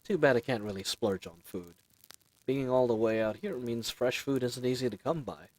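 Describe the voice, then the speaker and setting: A man's voice: mid-range voice, moderate pace, no room sound, natural voice. A man playing a friendly, roguish bandit leader in a fantasy audio roleplay, talking in a casual, teasing, chatty way. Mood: teasing, easygoing. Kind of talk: fantasy audio roleplay acting, speaking intimately to listener.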